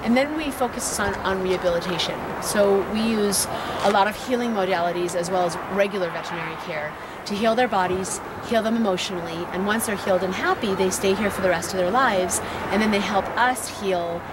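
A woman's voice: medium pitch, fairly quick, very noisy. A middle-aged woman with an American accent, explaining her animal sanctuary's work earnestly in an outdoor interview. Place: outdoors in dry hills.

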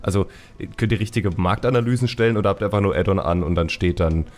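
A man's voice: sits low, fast talker. A man hosting a casual podcast chat, talking in a wry, joking way. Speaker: podcast host.

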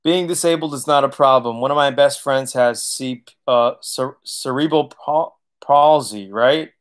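A man's voice: medium pitch, measured pace. Chatty man in his thirties, webcam livestream. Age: 30s. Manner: loose, chatty, laughs often, swears casually. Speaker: auto body channel host. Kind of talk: casual livestream Q&A reading chat. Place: living room, seated on leather couch.

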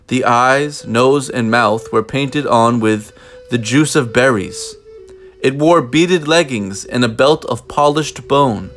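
A man reading a children's picture book aloud in an expressive way, with deliberate, dramatic phrasing. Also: medium pitch. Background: music.

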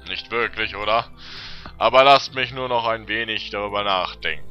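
A man playing a thoughtful, caring expedition leader, earnest and deliberate, in a fantasy audio drama. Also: lowish voice, clean tone, natural voice. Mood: concerned, earnest. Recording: dull lo-fi sound, music underneath.

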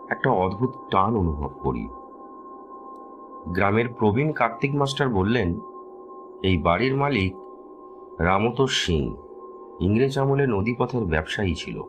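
A man telling a scripted horror story as a dramatic narrator, with measured, suspenseful delivery. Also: on the low side. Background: music.

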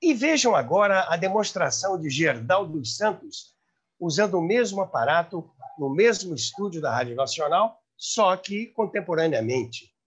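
Male presenter, seventies, Brazilian accent, husky and very lively, recounting history to camera. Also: high-pitched, moderate pace. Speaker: host. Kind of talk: storytelling about radio history, to camera. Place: room by a large window, indoors. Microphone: lapel mic.

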